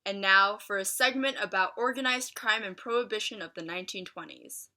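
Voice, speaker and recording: Female anchor, extremely bright and forward tone, medium pitch, short scripted links between segments. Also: a little fast. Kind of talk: brief scripted news links.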